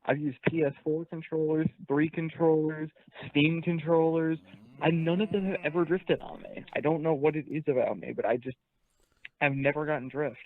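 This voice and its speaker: A man's voice: on the high side, steady tone, moderate pace, narrow, tinny audio. A man hosting a casual livestream chat while sick, talking bluntly, rambling and swearing. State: sick, tired voice. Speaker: streamer host.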